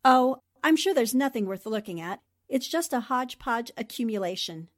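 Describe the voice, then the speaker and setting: An actress playing an inquisitive, poised newspaper reporter who asks polite, probing questions, in the style of old-time radio drama. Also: female voice, a little high, lively intonation, normal speed, lightly acted. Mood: politely curious.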